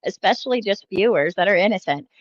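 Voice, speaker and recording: A woman's voice: mid-range voice, animated, fast, dry recording, lo-fi sound. A woman hosting casual reaction commentary, blunt and mocking, often trailing off with fillers. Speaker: commentary host reacting to clips.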